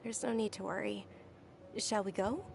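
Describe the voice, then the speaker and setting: A woman voicing a polite, reserved young woman in a video game, speaking in a calm, formal way. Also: medium pitch, moderate pace, gravelly. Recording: game audio with music underneath.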